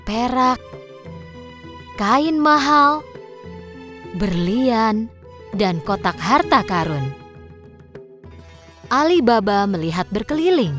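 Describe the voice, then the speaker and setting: A woman narrating a children's storybook tale from a script. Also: a little high.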